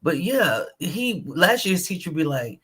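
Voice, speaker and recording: A woman co-hosting a spiritual live show, chatting casually and telling stories in a lively, animated way. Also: fairly low pitch, moderate pace, breathy edge. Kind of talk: casual live-stream chat.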